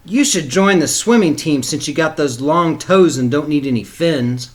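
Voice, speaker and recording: A man's voice: fairly high pitch, lively intonation, normal speed, lightly acted. A man voicing a teasing older brother, a mocking boy in a storybook, with a taunting manner.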